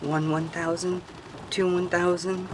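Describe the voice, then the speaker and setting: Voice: mid-range voice, little pitch movement, a little slow, close to an everyday voice. A performer playing a frightened young boy trapped in a car in an audio drama. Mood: tense counting. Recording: distant mic, loud rain and thunder noise.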